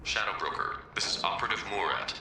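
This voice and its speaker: An actor playing a businesslike field operative reporting in, clipped and formal, in a video game. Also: male voice, lowish voice, lively intonation, fast talker, heavy background noise, natural voice. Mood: tense, businesslike. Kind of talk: video game cutscene acting. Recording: very echoey, music behind.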